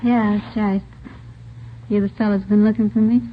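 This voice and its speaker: A woman's voice: medium pitch, level tone, normal speed, slight background noise, natural voice. An American woman playing a flirty, slangy nightclub dancer in her late twenties in a 1950s radio drama, flippant and casual. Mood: breezy, flirty. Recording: thin, phone-like old radio recording.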